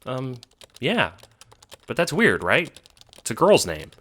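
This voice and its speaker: A man's voice: mid-range voice, wildly swooping pitch, slow, background noise, voice pushed up. A man playing an awkward, hesitant teenage prankster who questions their gender, in an audio drama. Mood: shy, unsure. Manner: hesitant, searching for words.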